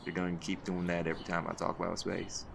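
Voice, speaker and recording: Man acting a deep, gravelly folksy hunt leader. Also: completely flat delivery, fast talker, very noisy. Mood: warm, reflective. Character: folksy, seasoned male hunt leader, fond tease. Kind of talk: audio roleplay acting.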